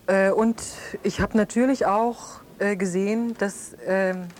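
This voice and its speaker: Thoughtful, halting woman, mid-pitched actress. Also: fairly even intonation, darkish tone, lightly acted. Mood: earnest, troubled. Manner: thoughtful, searching for words, halting. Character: reflective woman, close friend of an accused killer. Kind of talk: improvised naturalistic interview drama.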